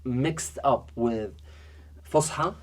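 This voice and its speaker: A man from Iraq talking as a podcast guest, pausing often with fillers and restarting his sentences. His pitch sits at an ordinary middle level. He gets lively and animated when he tells a story. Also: slight background noise. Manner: hesitant, many fillers and restarts, animated when telling stories. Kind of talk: casual interview chat.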